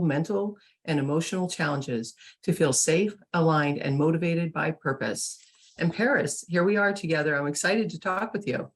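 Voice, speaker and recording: A woman with a notably low, deep voice hosts an interview and sounds upbeat and enthusiastic. She reads a guest's bio and welcomes her warmly to the conversation. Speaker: host and publisher. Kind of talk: online summit interview.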